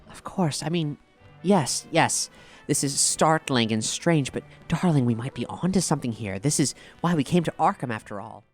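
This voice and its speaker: A man's voice: a little high, animated, normal speed, lightly acted. A man playing an earnest investigator of strange horrors in an audio drama, with faint music underneath. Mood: excited, reassuring.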